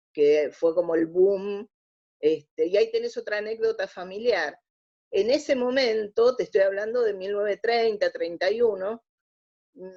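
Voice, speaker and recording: A woman with an Argentine accent, speaking as an interview guest, telling anecdotes in a halting, reflective way. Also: lowish voice, a little mellow. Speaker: interview guest, radio theatre historian. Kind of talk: conversational interview, anecdotal storytelling. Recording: dull lo-fi audio.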